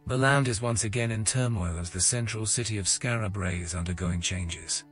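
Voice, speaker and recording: A man playing the storyteller narrator of a fantasy audio game, measured and dramatic in his scripted delivery. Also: on the low side, fairly bright tone, faint music behind, natural voice. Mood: measured, ominous.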